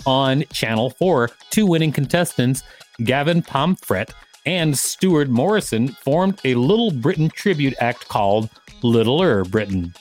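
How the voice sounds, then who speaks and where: A man's voice: mid-range voice, lively intonation, measured pace. Male podcast co-host over music. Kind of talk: reading an article aloud with casual commentary.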